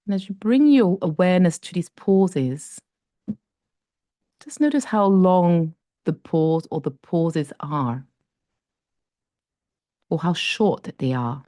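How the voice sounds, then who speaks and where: A woman guiding a breathing meditation, speaking slowly and gently with long pauses. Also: lowish voice, expressive, dry recording, studio quality. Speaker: meditation guide.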